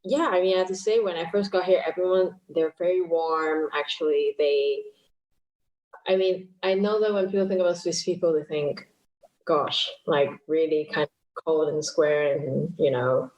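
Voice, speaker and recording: A Cantonese-speaking woman from chats casually in English, her voice sitting a bit low. She laughs often and pokes fun at herself. The room around her rings with echo. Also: fairly even intonation, moderate pace, a little mellow, lo-fi sound. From Hong Kong. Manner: chatty, laughs often, self-deprecating. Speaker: guest musician. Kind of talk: casual interview chat.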